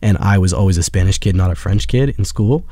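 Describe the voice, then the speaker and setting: A man's voice: deep voice, quick pace, dark tone. A young man from Haiti hosting a college radio show, chatting casually and rambling in a laid-back, slangy way.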